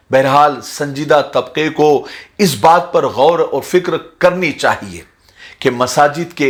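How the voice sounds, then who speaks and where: A man giving an earnest, measured address to his viewers as a religious motivational speaker, in formal wording. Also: fairly high pitch, fairly bright tone. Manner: measured, appealing, formal vocabulary.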